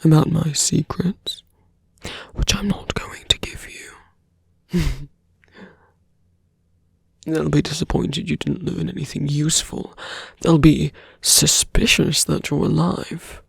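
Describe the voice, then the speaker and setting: A gravelly, heavily creaking villain acted out by an ASMR performer speaks slowly and very close, in a dry space. The voice is breathy and often sinks into a whisper, seductive and taunting with quiet menace. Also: mid-range voice, steady tone, no room sound, exaggerated voice. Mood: taunting, menacing. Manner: slow, deliberate, taunting. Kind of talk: intimate ASMR roleplay acting.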